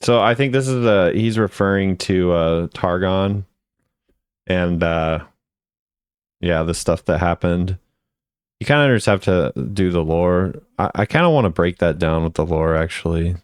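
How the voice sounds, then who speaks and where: A man's voice: low-pitched, measured pace, clear, resonant tone, dry recording, clean studio sound. A man hosting a gaming video, giving laid-back, off-the-cuff commentary as he thinks aloud. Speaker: video host ranking game voice lines.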